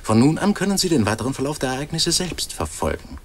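A man's voice: medium pitch, sing-song, fast talker, breathy edge. An actor playing a jovial, impatient male newsroom boss in a German radio play. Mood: solemn reporting.

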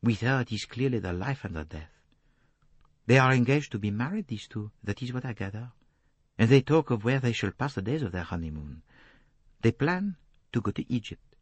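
A man voicing a calm, shrewd, courteous foreign detective, speaking formally and deliberately in an audiobook. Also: medium pitch, husky, no room sound, pitched above the performer's own voice. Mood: detached, recounting. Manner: placid, detached, formal, deliberate phrasing.

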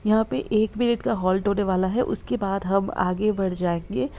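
A woman's voice: medium pitch, fairly even intonation, moderate pace, mellow. A woman travel vlogger explaining the route to viewers from a moving train, recorded on a handheld phone. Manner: explanatory, addresses viewers directly. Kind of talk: train journey vlog commentary.

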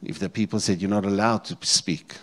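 Male South African preacher, fifties, lowish voice, repetitive emphatic phrasing, sermon delivery on a handheld mic. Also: fairly even intonation, brisk. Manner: repeats phrases, checks in with congregation. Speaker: pastor preaching. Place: church stage, indoors. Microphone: handheld microphone.

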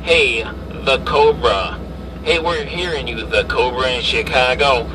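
A man's voice: mid-range voice, unhurried. A man chatting as a CB radio operator inside a vehicle, repeating callsigns and phrases. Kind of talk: CB radio chat. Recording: distant mic, clear vehicle cabin noise. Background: vehicle and ventilation noise.

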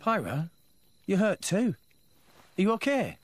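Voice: medium pitch, very animated, a little slow, faint music behind. An actor playing an upbeat teenage boy adventurer in a video game, friendly, eager and plain-spoken. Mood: reassuring. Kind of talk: animated video game acting.

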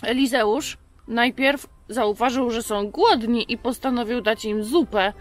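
A woman in her thirties hosting a children's Bible show, telling stories in simple, conversational words with pauses. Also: a little high, soft background music. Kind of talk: storytelling to a puppet for children. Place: outdoors in a garden.

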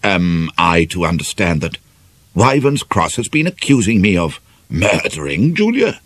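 A male narrator acts an irritable village doctor in a British novel reading. He has a British accent and a husky, clipped, blustering delivery, indignant and nervy, with lively pitch. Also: a little slow, full character voice. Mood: disgusted.

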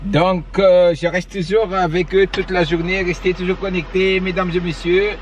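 Older father type, playful and halting, recorded from the passenger side of a noisy car. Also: high voice, flat delivery. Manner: hesitant, jokey, repeats words. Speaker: vlogger's father. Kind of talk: playful mock vlog greeting. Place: inside a moving car. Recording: distant from mic, road noise.

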